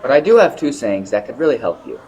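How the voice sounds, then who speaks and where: Radio play comic: male diner owner man acting, goofy and earnest, mid pitch, big swings. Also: very animated, fast, darkish tone, close to an everyday voice, poor audio.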